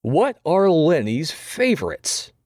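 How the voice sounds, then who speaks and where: An actor playing a slick, showy game show host with teasing menace in an audio comedy drama. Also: male voice, fairly high pitch, sing-song, background noise. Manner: showy, drawn-out announcing.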